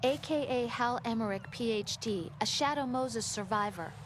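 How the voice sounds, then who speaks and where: Woman acting a higher-voiced, concerned support officer. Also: moderate pace, close to an everyday voice. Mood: informative. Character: concerned young woman on a military support team. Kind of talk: video game cutscene dialogue. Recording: noisy game audio with ambience.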